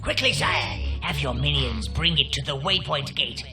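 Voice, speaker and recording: A man voicing a scheming, fawning old goblin-like servant of an evil master in a video game, theatrical and disdainful, with a gravelly voice. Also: fairly high pitch, animated, normal speed, lightly acted. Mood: fawning, gloating. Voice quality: gravelly, creaky. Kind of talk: video game character acting. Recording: music behind the voice.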